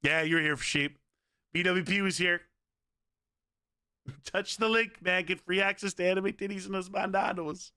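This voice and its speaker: Hoarse, punchy male streamer. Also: sits high, normal speed, long pauses, dry recording, clean studio sound. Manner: energetic, rambling, pleading with viewers. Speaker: game streamer. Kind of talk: casual livestream chat.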